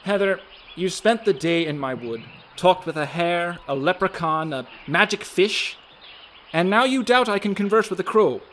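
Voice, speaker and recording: A man playing a gentle, cryptic fairy guardian of a forest in a radio play, with an unhurried, lilting delivery. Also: high voice, expressive, a little hiss or hum. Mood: wry, serene. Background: birdsong, woodland ambience.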